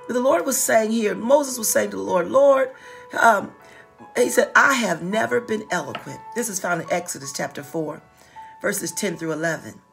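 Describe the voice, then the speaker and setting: A woman's voice: medium pitch, normal speed, gravelly, soft background music. A middle-aged woman preaching informally as a pastor, repeating phrases for emphasis, with a slight stutter. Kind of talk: informal livestreamed sermon. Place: small wood-paneled office.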